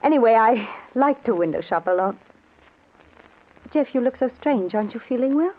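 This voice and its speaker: An actress playing an anxious, tender, secretive wife with a gentle, emotive delivery in old-time radio drama style. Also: female voice, fairly high pitch, expressive, moderate pace. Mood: tender concern. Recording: muffled old radio, faint hiss.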